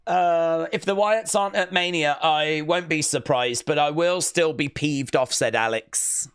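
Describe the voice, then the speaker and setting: Bright, clear-voiced male streamer. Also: fairly high pitch, a little slow, no room sound, studio quality. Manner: casual, chatty, many "uh" fillers. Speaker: wrestling watch-along streamer. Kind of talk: live stream commentary reading chat.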